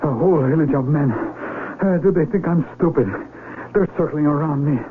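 Man acting agitated young mountaineer, high-voiced, old radio. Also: normal speed, close to an everyday voice. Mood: desperate, defiant. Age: young adult. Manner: agitated, defiant, theatrical. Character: desperate young mountaineer, sighted outsider among the blind. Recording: narrow-band 1970s radio broadcast with hiss.

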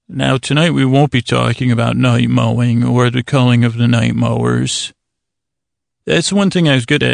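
A man with a creaky voice hosting a bedtime podcast, talking in a soothing, meandering monologue with halting pauses and digressions. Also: medium pitch, no echo, studio quality. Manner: soothing, lulling delivery. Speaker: podcast host.

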